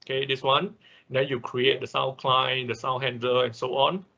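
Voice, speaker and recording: A male instructor with a bright, forward voice and a creaky edge walks through a screen-share tutorial. He goes step by step, haltingly, and keeps tagging his points with a quick check. The sound is slightly dull, like online class audio. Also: mid-range voice, flat delivery. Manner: halting, step-by-step, often ending on a checking "right?".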